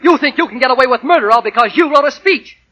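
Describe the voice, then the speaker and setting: A jealous, belligerent coworker in a 1940s radio drama. His voice is extremely high and bright. He sneers and argues combatively. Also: animated, fast talker, full character voice. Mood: sneering. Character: jealous, belligerent office rival.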